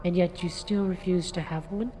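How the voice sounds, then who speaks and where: A woman's voice: fairly low pitch, fairly even intonation, moderate pace, dark tone, a little hiss or hum, lightly acted, rough recording. A woman with a Boston accent playing a persistent female police detective who keeps pressing with questions. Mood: firm. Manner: probing, insistent questioning. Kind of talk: amateur radio drama acting.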